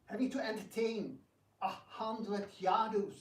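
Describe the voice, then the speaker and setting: A man's voice: sits high, measured pace, recorded from a distance. Bitter, grumbling prince played by a man, echoey room. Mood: bitter, exasperated. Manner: exasperated, theatrical complaining. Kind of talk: amateur devotional dramatic reading. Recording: echoey room, picked up from afar.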